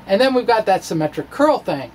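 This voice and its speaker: A middle-aged American man giving an informal physics lecture in a casual, halting way with thinking pauses. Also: sits high, sing-song, darkish tone, slight background noise, poor audio. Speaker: physics video blogger. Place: small cluttered indoor room with bookshelves. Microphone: microphone on a stand in front of him.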